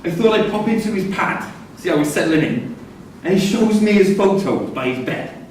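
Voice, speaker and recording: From some way off in a heavily echoing room comes a man playing a wry, gossipy male prisoner. His voice is on the high side, and he talks like a droll storyteller in a live prison play. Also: animated, normal speed, a little mellow. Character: wry, chatty male prisoner telling anecdotes. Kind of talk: staged reading of a prison comedy-drama. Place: theatre room at a live staged reading. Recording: distant, very echoey hall, faint noise.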